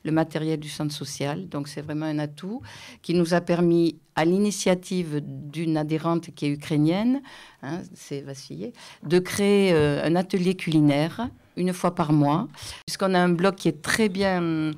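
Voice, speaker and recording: A woman speaking spontaneously as an association organiser in an interview, searching for her words in halting phrases. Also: low voice, darkish tone.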